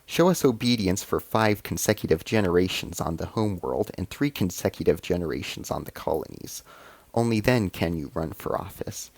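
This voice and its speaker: A man's voice: deep voice, expressive, moderate pace, darkish tone, slightly rough, no room sound, exaggerated voice. A man voicing a haughty alien female ambassador in a story, explaining and commanding with cold menace.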